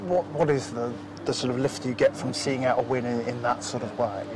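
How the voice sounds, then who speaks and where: A man's voice: medium pitch, fairly even intonation, a little fast. A man interviewing a footballer outdoors at a ground at night, asking friendly, hesitant questions. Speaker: club media interviewer. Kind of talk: post-match interview questions. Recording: outdoor ambience with clear background noise.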